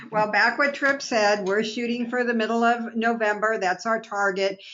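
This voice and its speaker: A woman's voice: on the high side, steady tone, moderate pace, fairly bright tone, lo-fi sound. A woman co-presenting on a business training call, talking about a product in an upbeat, chatty way.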